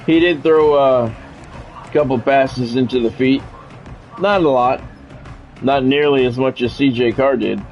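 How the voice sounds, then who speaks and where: A man's voice: mid-range voice, measured pace, long pauses, a little mellow, lo-fi sound, soft background music. A man doing casual sports game commentary, drifting between announcer-style play calls and conversational musing.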